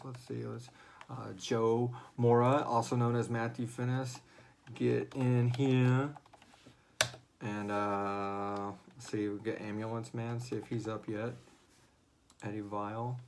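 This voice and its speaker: A man's voice: mid-range voice, vocal fry, darkish tone, slight background noise. A man hosting a casual livestream chat in a jokey, rambling way, sometimes muttering as he sets things up. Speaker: host and co-creator of a horror audio drama.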